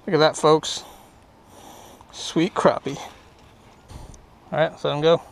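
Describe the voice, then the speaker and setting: A man's voice: on the high side, takes it slowly, creaky, a little hiss or hum. Upbeat young man fishing from a boat. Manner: easygoing, upbeat, excited over catches. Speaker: fishing vlogger. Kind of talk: casual fishing commentary. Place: small boat on a lake outdoors. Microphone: action camera on the boat.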